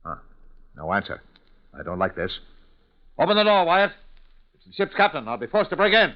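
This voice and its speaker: An actor playing a brusque, commanding ship's captain in 1940s radio style, wary and irritable, giving gruff, clipped orders. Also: male voice, on the high side, huge pitch swings, normal speed. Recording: muffled, noisy 1940s radio recording.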